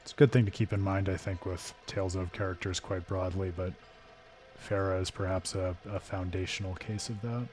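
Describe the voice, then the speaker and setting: A hoarse man hosting a live game stream, talking through his thoughts in a thoughtful, digressive way with frequent restarts. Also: sits low, steady tone, fairly quick, a little mellow. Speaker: streamer and host.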